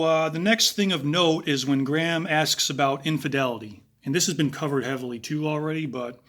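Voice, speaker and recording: A man with a raspy voice giving analytical true crime commentary, talking casually with pauses and fillers. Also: on the high side, a bit bright.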